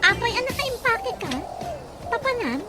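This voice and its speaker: Very high-pitched, plaintive young woman. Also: sing-song, moderate pace, loud music behind the voice. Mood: upset. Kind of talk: radio drama acting. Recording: very noisy, with ambient sound.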